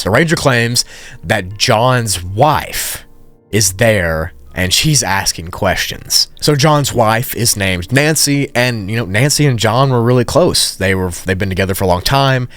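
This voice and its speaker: A man's voice: fairly low pitch, animated, measured pace, a bit bright, no echo, soft background music. A man narrating a story recap in a casual, conversational way with informal asides.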